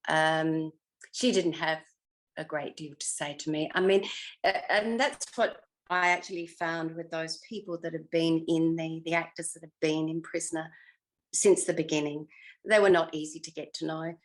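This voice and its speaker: A woman's voice: sits low, steady tone, moderate pace, low-quality recording. An older woman with an Australian accent reminiscing in a casual interview, hesitant as she searches her memory. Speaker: interviewed former actor.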